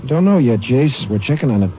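A man's voice: medium pitch, expressive, fairly quick, over music, lightly acted. An actor playing a plainspoken local lawman briefing a ranger, in a 1940s radio drama. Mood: matter-of-fact. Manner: plain, folksy and matter-of-fact. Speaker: patrolman. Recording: dull old radio broadcast with crowd murmur.

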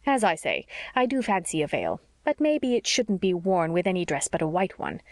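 A woman voicing a blunt, sharp-tongued older spinster in an audiobook story, with a brisk, scolding manner. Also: on the low side, very animated, no echo, studio quality. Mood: tart.

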